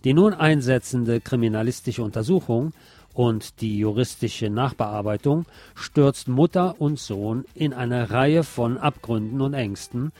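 A man's voice: mid-range voice, mellow, no echo. A man delivering a scripted film review as a critic recommending a movie to listeners.

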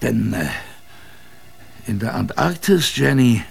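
An actor playing an old grandfather and polar expedition survivor, telling his tale tenderly and proudly in a radio drama, with reflective pauses. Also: mid-range voice, expressive, gravelly, husky, full character voice. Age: older.

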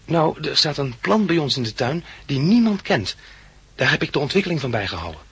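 A man's voice: a little high, sing-song, fairly quick, husky, heavily acted. An actor playing an eager, polite young plant enthusiast who tells his story in a 1960s Dutch radio drama. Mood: eager, nervous. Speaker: lead character and narrator.

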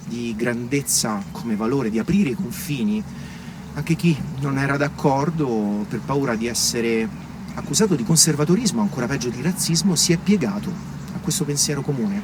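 An actor playing an earnest small-town autonomy campaigner, answering interview questions in measured, rhetorical speech. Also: male voice, medium pitch, lively intonation, lightly acted. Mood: measured conviction. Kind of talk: staged radio or television interview in a play. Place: echoey room, far from the mic. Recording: distant, echoey, noisy room recording.

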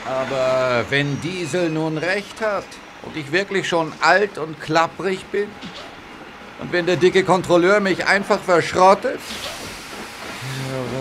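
An actor playing a proud, pompous big express steam engine in a radio play. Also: male voice, fairly high pitch, lively intonation, takes it slowly, gravelly, a bit bright, slight background noise, full character voice. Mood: worried. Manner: pompous, later worried. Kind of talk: German radio play acting.

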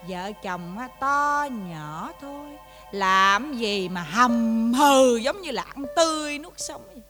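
A woman with a southern Vietnamese accent acting a sharp-tongued, exasperated wife in a comic domestic drama, sarcastic and full of folksy exclamations. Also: a little high, measured pace, soft background music. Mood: wheedling reproach. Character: sharp-tongued, exasperated card-gambling wife nagging her husband. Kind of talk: comedic stage-style domestic drama acting.

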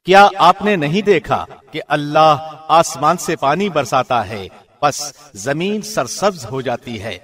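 Male radio-style reader for scripture translation: fairly high voice, formal, measured, carefully phrased. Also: expressive, a bit bright.

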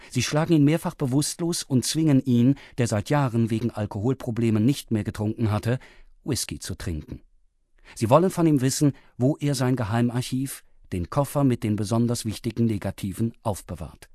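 Mellow, steady male narrator. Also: mid-range voice, dry recording, studio quality. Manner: steady, even reading. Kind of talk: scripted narration recapping a radio drama.

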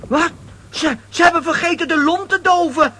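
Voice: very high voice, moderate pace, noisy background. An actor playing a bumbling, boastful figure who stammers and trips over words in a children's radio play. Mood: startled. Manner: stammering, tongue-tripping. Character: Lambiek, bumbling blustery man, stammering and boastful.

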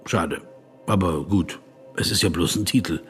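An actor playing an earnest, politely persistent philosopher in a radio play, with a husky voice and a reflective, probing manner. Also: male voice, sits low, moderate pace, soft background music, close to an everyday voice. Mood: resigned, probing.

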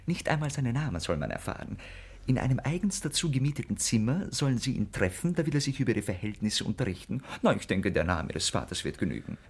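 A cheeky valet in a classic radio comedy. He has a mid-range voice with animated intonation. His delivery is wry, theatrical and mock-formal. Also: medium pitch, fast, breathy edge. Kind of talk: classic German radio play comedy acting.

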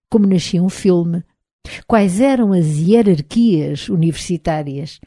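A woman with a European Portuguese accent reading a literary novel aloud in the first person, measured and reflective. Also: lowish voice, dark tone, dry recording, clean studio sound. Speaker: audiobook narrator. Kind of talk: literary audiobook narration.

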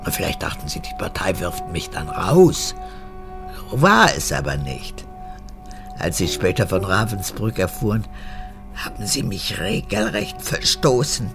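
An actress playing an old, manipulative and clingy mother, an unrepentant former camp guard, in a gravelly voice for a radio drama. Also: big pitch swings, unhurried, over music, exaggerated voice. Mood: evasive, brooding. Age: older. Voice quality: creaky, gravelly. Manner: erratic, swinging from whispers to shrill outbursts.

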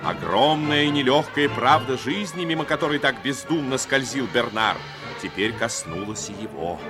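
An actor narrating a 1950s radio drama in a measured, literary and emotive manner. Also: male voice, mid-range voice, expressive, natural voice. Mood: solemn, dramatic. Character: solemn male storyteller of a radio drama. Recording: vintage radio drama over music.

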